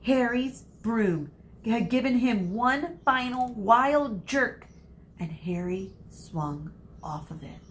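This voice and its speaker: A woman narrating a children's story expressively, with dramatic emphasis and pauses for suspense. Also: fairly high pitch, lively intonation. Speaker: read-aloud storyteller, teacher. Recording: faint background noise.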